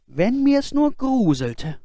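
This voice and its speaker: A fearless young lad from a storybook, acted out by a male reader, pitched high and swooping freely. He is naive, bold and carefree, exclaiming cheerfully as if nothing could bother him. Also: very animated, slow, warm tone, no room sound, heavily acted. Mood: wistful complaint. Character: cheerful fearless naive youth, boastful and unbothered. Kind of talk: fairy-tale storybook character voice.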